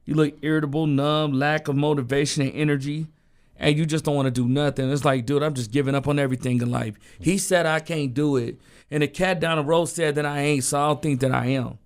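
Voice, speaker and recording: Hoarse laid-back man. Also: on the high side, fairly even intonation, a little fast. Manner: laid-back, loose and repetitive, lots of fillers. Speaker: co-host. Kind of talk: casual podcast chat.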